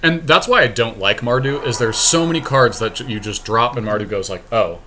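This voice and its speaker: A man's voice: mid-range voice, brisk, bright, forceful tone, slight background noise. A man co-hosting a podcast, casually commentating on a card game in informal language. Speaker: podcast co-host.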